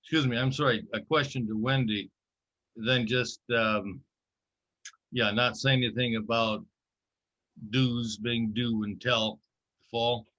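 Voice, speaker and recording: Bright-voiced man on a lo-fi call. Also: medium pitch, fairly even intonation, takes it slowly, pauses often. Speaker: club member in a meeting. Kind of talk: meeting discussion. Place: video call. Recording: dull lo-fi video call audio.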